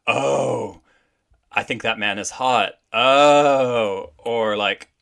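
A man's voice: medium pitch, extremely slow, vocal fry, fairly bright tone. A man from Canada, a drag performer, chatting casually as a guest on a podcast, full of "like" and "I think". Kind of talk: casual interview chat.

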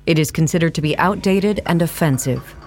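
A narrator reading scripted historical material for an audio play in a measured, matter-of-fact way, with music underneath. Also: mid-range voice, natural voice. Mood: measured, factual.

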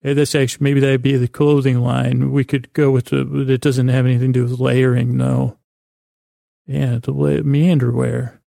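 A man with a creaky voice hosting a sleep podcast, rambling in a halting, meandering way and often stumbling over his words. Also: mid-range voice, little pitch movement, dark tone, no echo, studio quality. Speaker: podcast host. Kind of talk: rambling bedtime-story sleep podcast monologue.

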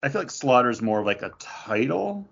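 A man's voice: medium pitch, sing-song, normal speed, creaky, fairly bright tone. A man co-hosting a casual panel discussion, speculating aloud in a tentative way. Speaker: podcast co-host. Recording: dull lo-fi audio.

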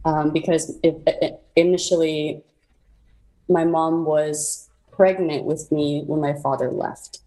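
A woman's voice: deep voice, fairly even intonation, slow, rough recording. A young woman from South Korea, telling her immigration story as an interview guest, reflective with frequent ums. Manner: reflective, many ums and soft laughs. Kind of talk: personal storytelling.

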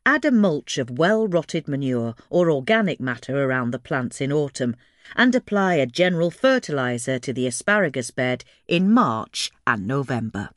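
A woman reading a scripted instructional guide in a measured, clearly enunciated way. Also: very deep voice, big pitch swings, no room sound, clean studio sound. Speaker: reader of a gardening guide.

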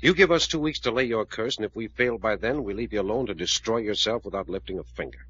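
An actor playing a hard-headed, commanding adventurer with a firm, clipped delivery in 1940s radio drama style. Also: male voice, on the low side, fast, a bit bright, breathy edge. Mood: resolute. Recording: muffled old-time radio recording.

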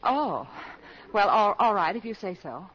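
Nervous polite mature lady student, husky, voice jumps around, muffled old radio. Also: medium pitch, big pitch swings, measured pace, a bit bright, full character voice. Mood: nervous, reluctant. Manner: hesitant, flustered, polite. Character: flustered, proper older female college freshman, nervous. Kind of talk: 1940s radio comedy acting. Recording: muffled 1940s radio broadcast.

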